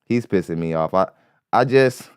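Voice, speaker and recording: Man, podcast host, light voice, rambling, cursing, clear tone, dry studio sound. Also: low voice, lively intonation, normal speed, no room sound. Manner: rambling, repeats himself, curses freely. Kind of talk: casual commentary on a clip. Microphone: studio mic.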